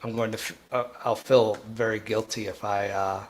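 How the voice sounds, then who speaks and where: A man hosting a live coding tutorial, thinking aloud in a halting, informal way and often restarting his sentences. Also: lowish voice, level tone, a little hiss or hum. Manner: halting, self-interrupting, informal. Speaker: livestream host, programming instructor.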